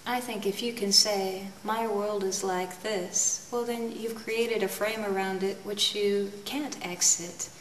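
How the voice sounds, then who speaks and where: Slow, musing woman in an echoey studio. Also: mid-range voice, fairly even intonation, a little hiss or hum. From United States. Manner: musing and unhurried, laughs often. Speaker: painter. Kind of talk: relaxed interview talk. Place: her studio. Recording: echoey studio, mic far off.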